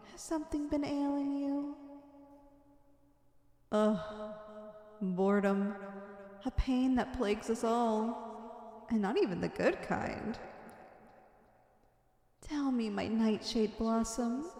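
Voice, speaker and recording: Slow, high-voiced wicked villainess in echo. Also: female voice. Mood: doting, disappointed. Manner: theatrical, florid, drawn-out purring endearments. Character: wicked obsessive villainess, lovestruck and gleefully murderous. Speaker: ASMR roleplay voice actor. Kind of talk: theatrical villain ASMR roleplay monologue. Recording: echoey hall-like reverb.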